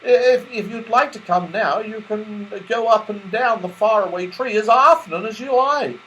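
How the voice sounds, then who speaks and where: A man's voice: high voice, unhurried, sharp tone, pitched above the performer's own voice, rough recording. A man reading a storybook, voicing a friendly, eager toy bear with a hesitant stammer. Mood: flustered, eager.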